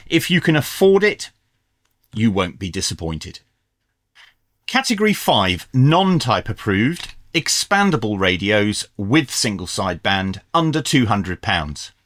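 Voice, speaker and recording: A man's voice: medium pitch, fairly bright tone, no echo, studio quality. A man presenting a product review in a casual, conversational style.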